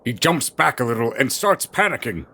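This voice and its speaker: A man's voice: mid-range voice, very animated, moderate pace, sharp tone. A man reading scripted fantasy story narration as the narrator of an audio drama.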